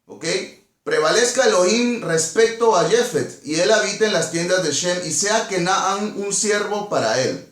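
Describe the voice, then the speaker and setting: Echoey, higher-voiced Peruvian man teaching scripture. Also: animated, measured pace. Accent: Peruvian Spanish. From Peru. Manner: didactic, repeats phrases and questions, checks in with "ok?". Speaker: Bible teacher. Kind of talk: Bible study teaching, reading verses aloud and explaining.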